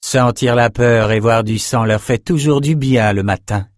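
A man's voice: mid-range voice, a little slow, no echo, clean studio sound, lightly acted. A man voicing a ruthless warrior woman who commands gladiators and barks imperious orders, in a storybook reading. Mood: stern, cold.

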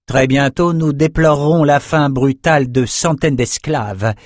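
A man's voice: mid-range voice, unhurried, no room sound, studio quality, pitched above the performer's own voice, lightly acted. A man voicing a ruthless warrior woman who commands gladiators and barks imperious orders, in a storybook reading. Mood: stern, cold.

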